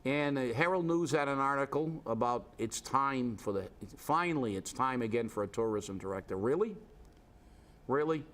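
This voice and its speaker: A man's voice: medium pitch, animated, normal speed, a bit bright. A man with a head cold, stuffed up, hosting a local talk show and ranting with sarcasm and indignation. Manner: indignant, sarcastic, lots of restarts. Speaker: co-host.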